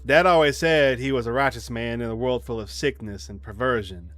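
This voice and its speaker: A man voicing a haunted country boy recounting his childhood, reading visual novel narration plainly and a little haltingly. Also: mid-range voice, fairly bright tone, dry recording, natural voice. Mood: somber, reflective.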